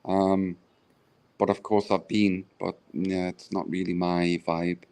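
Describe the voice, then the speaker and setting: Easygoing deep-voiced British man. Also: little pitch movement, slow pace, a little mellow, clear, resonant tone. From Britain. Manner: easygoing, often trails off and restarts. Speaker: stream guest. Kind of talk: casual live-stream chat.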